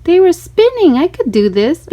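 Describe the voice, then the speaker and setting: Lady doing an eager little ballet girl, high voice, exclaims everything earnestly, storybook style. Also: sing-song, moderate pace, warm tone. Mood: delighted. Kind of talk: children's storybook read-aloud.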